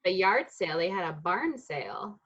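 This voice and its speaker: A woman's voice: on the low side, lively intonation, normal speed, noisy background. A woman hosting a storytime, casually asking questions and relaying comments from viewers. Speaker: bookstore storytime host.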